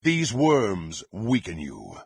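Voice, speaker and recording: An actor playing a power-hungry, contemptuous dark warrior in a fantasy video game, with a gravelly, husky voice and haughty, theatrical, archaic phrasing. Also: male voice, on the low side, huge pitch swings, takes it slowly, dry recording, full character voice. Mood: cold, menacing.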